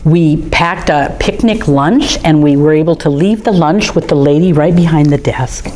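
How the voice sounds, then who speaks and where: A middle-aged woman reading and chatting through a children's storytime at an art museum, gently enthusiastic as she shows pictures. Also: low voice, normal speed.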